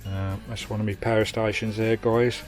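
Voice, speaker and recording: A man's voice: fairly low pitch, little pitch movement, normal speed, faint music behind. A man with a British accent giving a casual, matey, hands-on vlog commentary from inside a campervan. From United Kingdom. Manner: chatty, matey, peppered with British slang. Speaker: vanlife vlogger. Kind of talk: casual hands-on video tour and fitting commentary.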